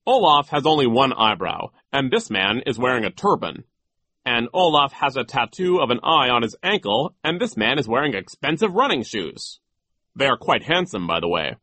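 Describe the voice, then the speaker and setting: A man voicing a stuffy, condescending gentleman from a storybook, pompous and sternly reproving toward children. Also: medium pitch, sing-song, normal speed, no echo, voice pushed up. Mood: stern, patronizing.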